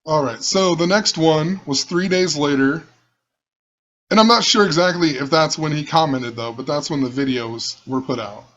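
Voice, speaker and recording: A man with a rough voice, rambling and musing as he talks through his own video channel. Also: fairly high pitch, moderate pace, fairly bright tone, lo-fi sound. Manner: musing, rambling, repeats himself. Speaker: channel host. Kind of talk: casual screen-share commentary.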